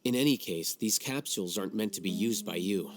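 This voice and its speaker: A man playing a composed, aloof young scholar in a video game, speaking in a measured, matter-of-fact and articulate way. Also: lowish voice, over music, close to an everyday voice. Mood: lecturing. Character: composed, aloof young male scholar, calculating and self-assured.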